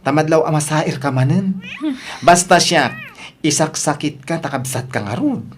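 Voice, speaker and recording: A woman's voice: deep voice, normal speed, a little hiss or hum, close to an everyday voice. A woman with a Filipino accent playing a nagging, skeptical older sister in an audio drama. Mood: scolding. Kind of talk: Ilocano radio drama acting.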